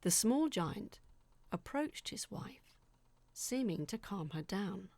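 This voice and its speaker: A woman reading a scripted first-person story as narrator, in a measured, dramatic storytelling manner. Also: mid-range voice, expressive, a little mellow, no echo.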